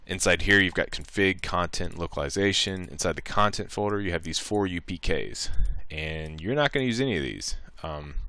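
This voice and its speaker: A man presenting a casual software tutorial, talking informally through each step and thinking aloud. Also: sits low, lively intonation, normal speed, few pauses, dry recording.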